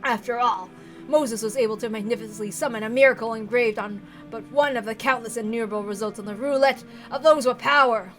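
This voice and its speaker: A woman's voice: fairly high pitch, faint music behind, pitched below the performer's own voice, close to an everyday voice. A woman voicing a deranged, tyrannical old patriarch with theatrical, obsessive rants, reading from a visual novel. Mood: grave, fervent. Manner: theatrical howling rants, drawn-out pleading.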